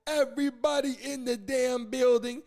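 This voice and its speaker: A man hosting a sports livestream, excitably giving repetitive chat shout-outs and swearing casually. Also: extremely high pitch, moderate pace, vocal fry. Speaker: livestream sports show host. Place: streaming studio in Miami.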